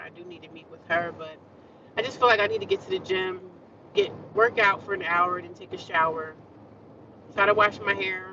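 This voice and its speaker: A woman's voice: fairly low pitch, recorded from a distance, dull audio, background noise. A woman chatting casually to her viewers from inside a car, talking with a congested nose. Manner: chatty, talks straight to viewers. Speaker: vlogger. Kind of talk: casual rambling chat to viewers.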